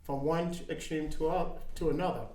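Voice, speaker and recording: A man in his fifties speaking in casual chat as a podcast guest, earnest and halting, with many ums and restarts. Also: a little high, steady tone, darkish tone, roomy sound. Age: 50s.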